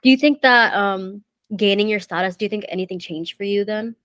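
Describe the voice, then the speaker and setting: A woman's voice: medium pitch, a little fast, a bit bright, clean tone, no echo. A woman interviewer who asks her questions in a tentative, searching way. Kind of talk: casual interview.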